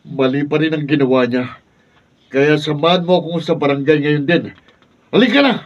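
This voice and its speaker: An actor playing a generous but proud middle-aged visiting cousin, blunt and easily offended, in a Filipino radio drama. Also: male voice, on the high side, moderate pace, natural voice. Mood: indignant. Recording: distant mic, dull lo-fi room recording.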